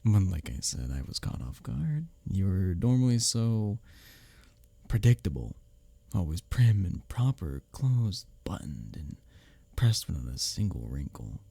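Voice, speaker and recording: Low, breathy, smug office rival played by a man. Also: very animated, warm tone, dry recording, heavily acted. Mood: flustered, defensive. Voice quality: breathy, often dropping toward a whisper. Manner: smirking, teasing, halting when flustered. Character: arrogant, smug male office rival, teasing and secretly smitten. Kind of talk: intimate ASMR audio roleplay addressed to the listener.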